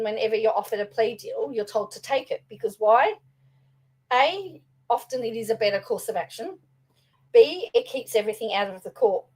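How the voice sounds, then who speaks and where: A young woman talks through commentary for her channel, her fairly high voice slightly raspy after a day of singing. She rambles along casually, looping back with ums and restarts as she shares her opinions. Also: a little mellow, rough recording. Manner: chatty, rambling, with fillers and restarts. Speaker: vlogger and law student.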